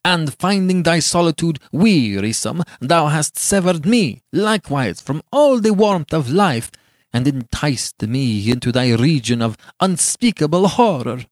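A man's voice: on the low side, big pitch swings, slow, no echo, studio quality, exaggerated voice. A man playing a passionate, bitter young man in a storybook, declaiming with venomous scorn in archaic language. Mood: venomous rage.

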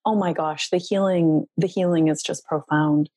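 Soft-spoken earnest woman, dark dry voice. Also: deep voice, steady tone, moderate pace, dry recording. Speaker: guest, relationship coach. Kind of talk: heartfelt podcast interview.

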